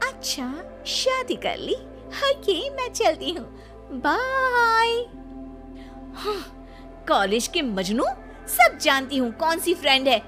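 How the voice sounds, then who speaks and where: A woman voicing a jealous, sharp-tongued young wife in a cartoon story, scolding and sarcastic. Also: very high-pitched, sing-song, takes it slowly, voice pushed up, heavily acted. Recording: faint music behind.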